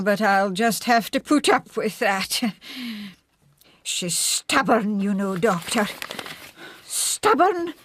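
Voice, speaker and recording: An actress playing an ailing, genteel Scottish spinster in a radio drama, plaintive and polite but stubborn. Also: female voice, medium pitch, a bit bright, dry recording. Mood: stubborn, complaining.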